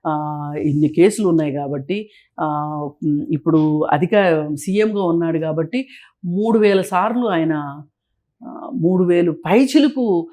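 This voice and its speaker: A woman's voice: on the low side, unhurried, long pauses, dark tone. A woman speaking as an interview guest, giving critical, opinionated political commentary in a colloquial, conversational way.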